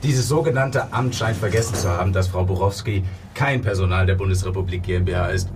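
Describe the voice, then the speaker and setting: An actor playing a calm, self-righteous man who rejects the state, speaking in lofty pronouncements. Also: deep voice, brisk, background noise, natural voice. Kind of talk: radio drama acting.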